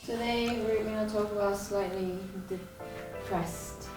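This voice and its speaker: A woman interviewer asking short, soft questions from off camera. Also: medium pitch, fairly even intonation, slow pace, music in the background. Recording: roomy off-mic voice.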